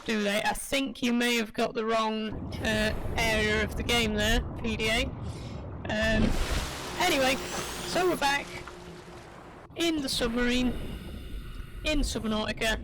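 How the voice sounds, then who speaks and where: A woman's voice: on the high side, steady tone, a little slow, pauses often. A woman streamer giving rambling, chatty commentary while playing, her voice a little crackly, often stopping to correct herself. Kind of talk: casual live gameplay commentary. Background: game music.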